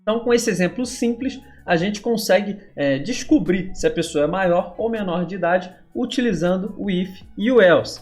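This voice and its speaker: A Brazilian man in his twenties teaching a casual coding tutorial, chatty and relaxed, checking in often with his viewers. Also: high voice. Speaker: programming instructor.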